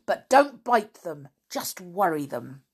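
A woman's voice: on the low side, sing-song, slow, breathy edge, full character voice. A woman voicing a fierce tomboy girl commanding her dog, in a storybook reading. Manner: urgent commands.

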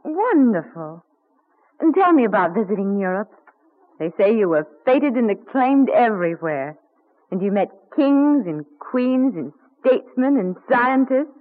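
Tender, devoted daughter, muffled old radio. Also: female voice, a little high, very animated, a little slow. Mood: admiring. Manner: tender, solicitous, admiring. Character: devoted grown daughter, tender, admiring, attentive mother. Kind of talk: old-time radio drama acting. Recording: muffled, noisy vintage radio recording.

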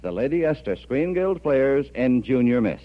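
A man's voice: medium pitch, big pitch swings, normal speed. A man announcing a 1940s radio show with formal, showmanlike scripted delivery. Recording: muffled old radio broadcast. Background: music.

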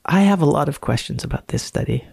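A woman's voice: deep voice, animated, quick pace, creaky, mellow, breathy edge. A woman speaking as a guest on a science podcast, moving between casual chat and reading aloud from a paper.

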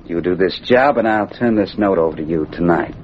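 An actor playing a bullying, smug gambling boss in an old radio crime drama, curt and threatening. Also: male voice, deep voice, brisk, breathy edge. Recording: muffled, noisy old radio recording.

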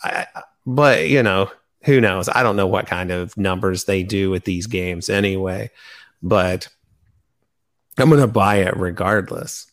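Low-voiced man chatting casually. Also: measured pace. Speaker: podcast host. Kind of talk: casual fan chat.

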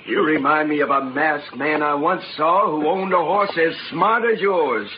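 An actor playing a steady, reasonable frontier townsman with folksy western talk in a radio drama. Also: on the high side, normal speed, natural voice. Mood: calm, firm. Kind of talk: old-time radio western acting. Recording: noisy, dull vintage radio recording.

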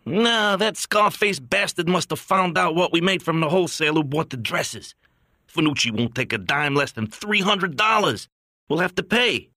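A man's voice: fairly high pitch, expressive, moderate pace, sharp tone, dry recording, clean studio sound, pitched above the performer's own voice, full character voice. A man doing a blunt gangster. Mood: angry. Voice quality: blunt, cursing. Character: burly Sicilian gangster man, blunt and gruff.

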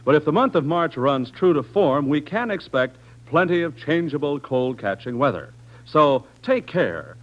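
Lively American radio announcer, muffled recording. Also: male voice, normal speed. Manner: earnest, emphatic sales pitch. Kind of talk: 1940s radio ad reading, scripted.

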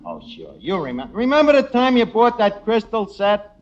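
An actor with a New York accent playing a brash, wisecracking tavern manager who mangles big words in mock-refined style, in 1940s radio comedy. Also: male voice, high-pitched, wildly swooping pitch, normal speed, lo-fi sound. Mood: breezy, boastful. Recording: noisy vintage radio broadcast, studio audience.

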